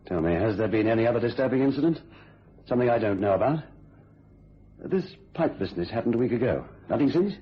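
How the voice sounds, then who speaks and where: An actor playing a firm, paternal family doctor in a radio thriller, questioning with authority. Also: male voice, mid-range voice, expressive, fast talker, breathy edge. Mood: probing, reassuring. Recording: dull lo-fi radio recording.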